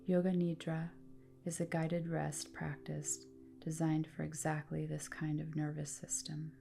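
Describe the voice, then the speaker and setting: A woman with a low, mellow voice leads a guided rest practice. She speaks slowly and deliberately, leaving room between phrases. Her delivery is calm and soothing, like scripted meditation narration. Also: gravelly, faint music behind. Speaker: yoga nidra guide.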